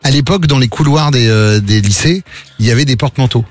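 Man, radio morning host, medium pitch, chatty, hesitant fillers, faint music underneath. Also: quick pace. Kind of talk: casual radio banter.

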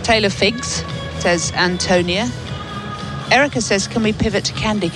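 A woman's voice: mid-range voice, moderate pace, rough recording. A woman co-hosting a sports show, reading out listeners' messages with casual, playful banter. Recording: music playing behind, outdoor venue. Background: DJ music at outdoor venue.

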